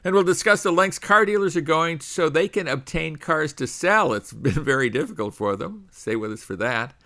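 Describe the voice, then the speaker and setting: A middle-aged man hosting a radio show in a bright, forceful voice. He talks in a loose, conversational way, often pausing on uh. It sounds like a studio microphone. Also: on the high side, expressive. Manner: conversational, with frequent uh fillers.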